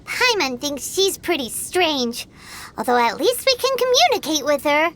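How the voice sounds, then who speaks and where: A woman voicing a tiny, excitable, childlike fairy companion with a squeaky voice, in anime game style. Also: measured pace, exaggerated voice. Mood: blunt explaining. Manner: speaks of self in third person. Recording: loud music over the voice.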